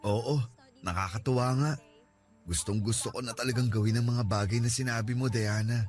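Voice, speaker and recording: An actor playing a devoted young boyfriend, gentle and reassuring, breaking into tearful begging in a radio drama. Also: male voice, mid-range voice, a little fast, natural voice.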